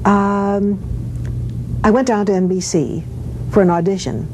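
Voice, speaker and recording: An older woman, a former radio actress, reminiscing casually in an interview and telling anecdotes in an animated way. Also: unhurried. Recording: noisy with low rumble.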